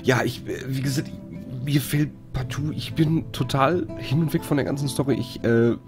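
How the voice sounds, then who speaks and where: A man's voice: mid-range voice, normal speed, over music. A man streaming a game live, chatting casually and rambling with many fillers while he greets viewers. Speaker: let's play streamer. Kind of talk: casual live-stream commentary over gameplay.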